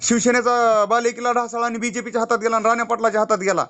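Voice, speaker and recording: A man's voice: extremely high pitch, level tone, very fast, bright, forceful tone. A man making a heated, insistent, pleading complaint on a recorded phone call. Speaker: party youth-wing office bearer and activist.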